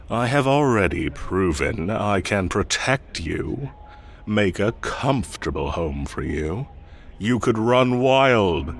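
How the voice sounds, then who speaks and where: A man's voice: fairly low pitch, slight background noise, full character voice. A man playing a smug, possessive and menacing fae king in roleplay audio, theatrical and condescending as he speaks directly to the listener. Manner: theatrical, condescending, slow deliberate coaxing.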